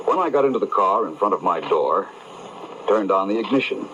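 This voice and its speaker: Over slightly noisy, dull AM radio, a shrewd gentleman detective in an old mystery drama. His voice is lowish and lively, and he lays out his reasoning with urbane confidence. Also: fairly quick. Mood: assured. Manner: urbane, assured, expository. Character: shrewd, self-assured gentleman detective explaining a case. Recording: dull, hissy AM radio reception.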